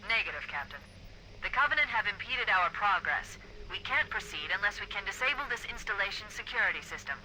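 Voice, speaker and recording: A woman's voice: mid-range voice, lively intonation, brisk, bright, forceful tone, a little hiss or hum, rough recording. A woman playing a confident, analytical military artificial intelligence in a video game. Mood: measured, analytical.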